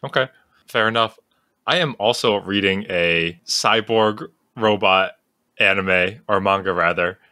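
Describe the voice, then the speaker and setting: A man's voice: lowish voice, measured pace, pushed and bright, no room sound, studio quality. A man from Long Island with a matching accent, hosting a podcast in playful, joking casual chat. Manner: joking, playful, laughs often. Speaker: podcast host.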